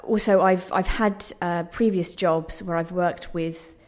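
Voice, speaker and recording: A woman's voice: lowish voice, fairly even intonation, measured pace, darkish tone. A young woman giving reflective interview answers in a hesitant, self-deprecating, thoughtful way. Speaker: playwright being interviewed.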